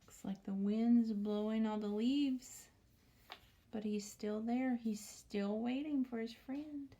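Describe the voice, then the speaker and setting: A woman teacher reading a picture book aloud to young children, commenting and asking questions, often dropping into a hushed near-whisper. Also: a little high, takes it slowly, slight background noise. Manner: asks the listening children questions.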